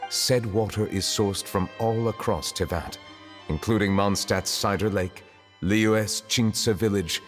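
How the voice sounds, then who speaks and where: An actor playing a reserved, dignified dragon in human form who serves as a chief justice in a video game, speaking formally and pensively. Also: male voice, deep voice. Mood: composed, formal. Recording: long reverb, faint music behind.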